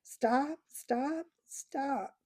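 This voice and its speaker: A woman's voice: sits high, extremely slow, dark tone, voice pushed up. A woman voicing a fussy older male scholar, urgent and reasoning.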